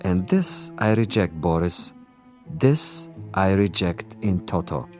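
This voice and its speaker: Slow, low-voiced, haughty Soviet commissar. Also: male voice, expressive, darkish tone, faint music behind. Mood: scornful. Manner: condescending, theatrical, deliberate. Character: suave, sadistic, haughty male Soviet commissar and assassin. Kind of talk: radio drama acting.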